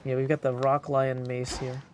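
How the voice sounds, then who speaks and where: A man's voice: mid-range voice, completely flat delivery, normal speed, background noise. A man casually talking through a game he is playing and reading bits of its text aloud. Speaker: streamer practicing game voice acting.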